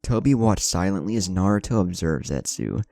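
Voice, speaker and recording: A man's voice: sits low, expressive, normal speed, creaky, dark tone, no echo. A man narrating a scripted story with dialogue. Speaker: fan fiction narrator.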